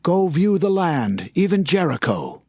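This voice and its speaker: A man's voice: on the high side, very animated, unhurried, a little mellow, breathy edge, dry recording, studio quality, full character voice. An actor playing a bold Israelite leader in dramatized scripture audio, declaiming his orders with authority. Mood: commanding. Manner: declamatory, commanding. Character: Joshua, bold male Israelite leader commanding people.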